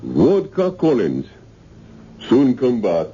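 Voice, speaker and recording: An actor playing a big, genial but sinister island castaway with a Caribbean accent and simple dialect phrasing in a 1970s radio drama. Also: male voice, medium pitch, huge pitch swings, slow pace, dark tone, a little hiss or hum, exaggerated voice. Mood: genial host. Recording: muffled old radio broadcast.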